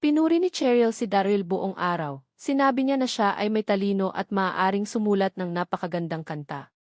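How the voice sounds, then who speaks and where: A woman's voice: mid-range voice, moderate pace, a little mellow, dry recording, studio quality. A woman reading a scripted story aloud as an audiobook narrator.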